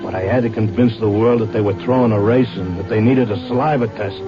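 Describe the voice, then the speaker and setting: An actor playing a wisecracking, stubborn American racing tipster in a radio drama, talking and narrating in plain slang. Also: male voice, fairly low pitch, fairly even intonation, fast talker, close to an everyday voice. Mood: weary, urgent recounting. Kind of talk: mid-century radio drama acting and first-person narration. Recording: dull vintage radio recording with music.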